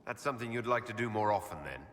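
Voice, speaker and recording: An actor playing an earnest, devoted heroic leader and lover in a video game, sincere and direct. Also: male voice, fairly low pitch, animated, rapid-fire, a bit bright. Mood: curious, subdued. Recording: reverberant hall, background ambient noise.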